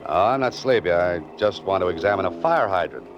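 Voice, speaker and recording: A very low-voiced male writer on the run, cool and wry. He tosses off deadpan wisecracks in 1940s radio detective style, on a muffled old-time radio recording. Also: fairly quick, a little hiss or hum.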